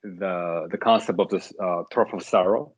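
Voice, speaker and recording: A man's voice: deep voice, fairly even intonation, moderate pace, dry recording. A man in his thirties from the Dominican Republic, a startup CEO answering interview questions thoughtfully, with many fillers and restarts.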